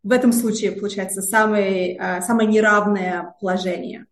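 A woman's voice: mid-range voice, fairly even intonation, moderate pace. A woman researcher answering interview questions in a hesitant way, with many filler sounds and repeated words. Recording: roomy echo.

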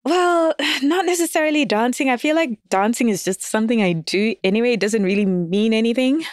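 A woman's voice: fairly high pitch, fairly quick, no echo, clean studio sound. A woman from Zimbabwe chatting candidly as a podcast guest, laughing often and hedging her opinions. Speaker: guest writer. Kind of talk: casual podcast chat.

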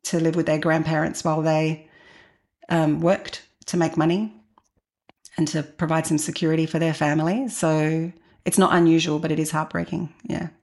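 A woman in her forties speaks as an interview guest, looking back on her own life. Her voice sits low, with a marked creak at the edges. She is candid and introspective, talking in a conversational storytelling way. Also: level tone, normal speed, darkish tone. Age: 40s. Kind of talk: reflective personal storytelling.

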